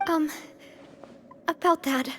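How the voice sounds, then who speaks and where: A woman's voice: high-pitched, steady tone, slow, background noise. A woman voicing a poised, caring young female gang leader in a video game, composed and sincere. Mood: sorrowful. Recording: long reverb, music behind. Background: background music.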